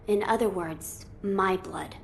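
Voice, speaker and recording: A woman's voice: mid-range voice, slow pace, gravelly, slight background noise. Woman acting composed, noble young boy lord. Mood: thoughtful, resolute. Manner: formal, thoughtful, composed. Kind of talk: video game cutscene acting.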